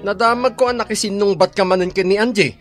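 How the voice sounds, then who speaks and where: A man's voice: high-pitched, steady tone, fast, a bit bright, faint music behind. A man with an Ilocano accent playing a preachy, peace-seeking husband who scolds, in a radio drama. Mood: admonishing. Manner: admonishing.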